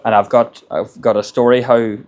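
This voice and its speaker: A man's voice: medium pitch, level tone, moderate pace, a little mellow, slight background noise. An Irish man, a construction business owner, gives plain-spoken advice in a casual monologue and often repeats words as he gathers his thoughts. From Ireland.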